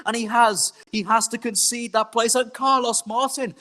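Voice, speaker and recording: A man commentating a sim race, excitable and rising to shouts, his voice going hoarse. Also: extremely high pitch, moderate pace.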